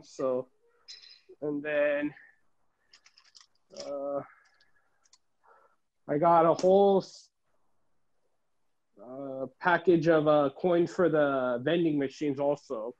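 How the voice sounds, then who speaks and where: A middle-aged man giving a casual show-and-tell tour as a collector, listing items haltingly, recorded indoors on a handheld phone. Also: a little high, darkish tone. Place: room at home, indoors. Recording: dull, muffled phone audio.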